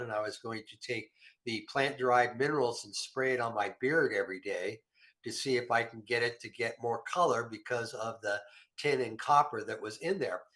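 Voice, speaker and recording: A man's voice: medium pitch, a bit bright. Middle-aged man talking through a cold. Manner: rambling, folksy, self-deprecating. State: nasal from a cold. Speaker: health show regular guest. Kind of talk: casual health talk.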